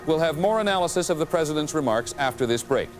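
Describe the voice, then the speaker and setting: An actor playing a measured, serious news anchor, reading a formal scripted broadcast in an audio drama. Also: male voice, fairly high pitch, animated, natural voice. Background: music.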